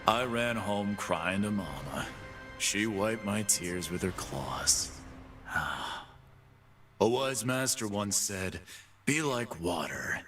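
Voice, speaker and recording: A brash young half-beast brawler, bragging and trash-talking with a lowish voice that swings widely in pitch. His game voice lines play over loud music. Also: animated, slow pace. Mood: boastful. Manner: swaggering, boastful trash talk. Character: cocky young male half-beast pit-fighting boss.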